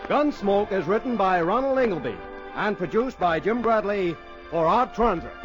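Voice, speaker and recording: Dramatic radio announcer guy, loud music under him, muffled vintage sound. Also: high-pitched, lively intonation, normal speed. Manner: dramatic, formal. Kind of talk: old radio closing announcement. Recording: dull old radio recording.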